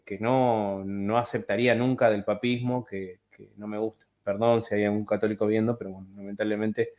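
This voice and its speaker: A man hosting a casual chat over a video call, rambling and halting with many fillers and restarts. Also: mid-range voice. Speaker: podcast host.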